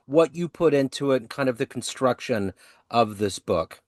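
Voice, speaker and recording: A man's voice: medium pitch, animated, normal speed, a little mellow, dry recording, clean studio sound. A male host asking a guest direct, eager questions in an interview.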